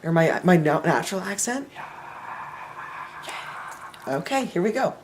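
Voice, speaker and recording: A man's voice: high-pitched, very animated, unhurried, noisy background. A teenage boy chatting playfully in a put-on British accent, joking his way through a mock interview. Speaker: co-host.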